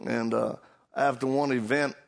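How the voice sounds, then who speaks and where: Cajun preacher, middle-aged man, gravelly voice, hyped and joking on stage. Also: medium pitch, fairly even intonation, unhurried. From Louisiana. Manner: hyped up, joking, self-deprecating storyteller. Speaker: preacher and motivational speaker. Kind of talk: humorous stage talk to a live audience.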